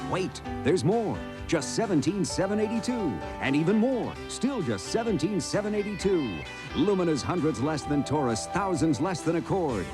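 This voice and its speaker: Animated male car ad announcer over music. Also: fairly high pitch, normal speed. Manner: upbeat salesman delivery. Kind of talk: scripted radio ad read. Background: guitar music.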